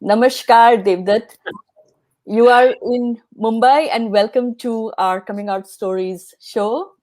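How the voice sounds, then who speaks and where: A woman's voice: fairly high pitch, slow pace, darkish tone. A woman hosting an interview show, earnest in tone, with small restarts as she speaks. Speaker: host.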